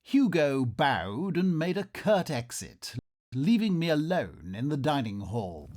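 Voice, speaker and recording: An actor playing a curious, polite Victorian nobleman in a radio play, melodramatic and genteel, with a husky, breathy voice. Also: on the high side, big pitch swings, takes it slowly, darkish tone. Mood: wondering, suspenseful. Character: curious, polite Victorian nobleman narrating his eerie adventure. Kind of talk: theatrical radio drama narration and dialogue.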